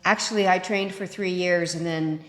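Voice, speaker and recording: Low-voiced woman in an echoey room. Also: fairly even intonation, normal speed, fairly bright tone. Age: 50s. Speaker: co-host. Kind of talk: casual chat. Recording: echoey room with long reverb.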